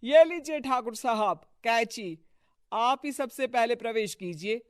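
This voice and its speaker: A woman voicing a deferential, effusive townsperson in an animated moral story. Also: a little high, slow pace, clear, resonant tone, no room sound, studio quality, voice pushed up. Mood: fawning.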